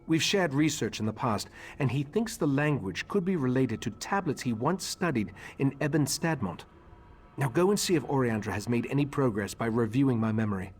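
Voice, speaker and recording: A man acting an authoritative elf master in a video game, measured and instructing. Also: medium pitch, lively intonation, a little hiss or hum, close to an everyday voice. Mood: calm, instructing.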